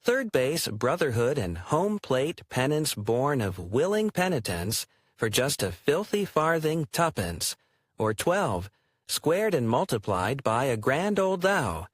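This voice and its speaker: A man's voice: mid-range voice, sing-song, slow pace, no room sound, studio quality. A man performing theatrical spoken-word poetry in a wry, declamatory way.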